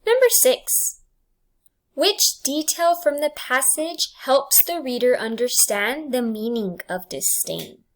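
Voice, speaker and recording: A woman teacher reading test questions and answer choices aloud in a deliberate, enunciated way for students. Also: sits high, expressive. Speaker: English language arts teacher.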